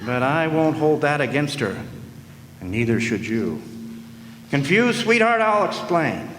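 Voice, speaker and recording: A man's voice: a little high, sing-song, unhurried, a bit bright. An actor in a live radio play playing a wisecracking hardboiled male private eye who narrates in a dry, sardonic way. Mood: wry. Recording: echoey hall with faint noise.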